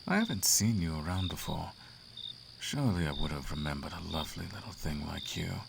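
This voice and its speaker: A man's voice: low-pitched, expressive, fairly quick, background noise. A man playing a suave, flirtatious, imperious demon prince in an intimate roleplay monologue, teasing and silky with grand formal phrasing. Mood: curious, flirtatious. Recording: clear outdoor cricket ambience behind.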